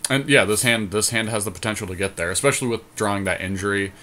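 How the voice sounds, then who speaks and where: A man's voice: on the low side, quick pace, sharp tone, slight background noise. A man playing a card game as a podcast co-host, informally narrating his moves aloud in casual commentary.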